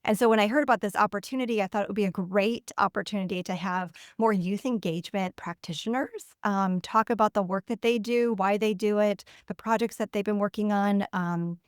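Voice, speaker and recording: Smooth-voiced chatty woman moderator. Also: mid-range voice, a little fast, hardly any pauses, no room sound, clean studio sound. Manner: friendly, chatty, many um and like fillers. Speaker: webinar moderator. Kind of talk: webinar introduction. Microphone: video call.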